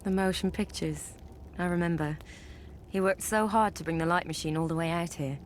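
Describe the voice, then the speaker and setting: Thoughtful immortal sister played by a woman, fairly low pitch, reflective, faint noise behind. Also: a little fast, natural voice. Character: thoughtful, loyal immortal sibling longing for outside. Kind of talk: video game character acting.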